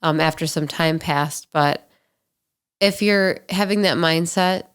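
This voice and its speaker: A woman's voice: low voice, slow, clear, resonant tone. A woman in her twenties hosting a podcast, telling stories in a candid, chatty way. She sounds a little nasal and stuffy from allergies. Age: 20s. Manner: candid, chatty, frequent ums and likes. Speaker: podcast host. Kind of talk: casual solo storytelling.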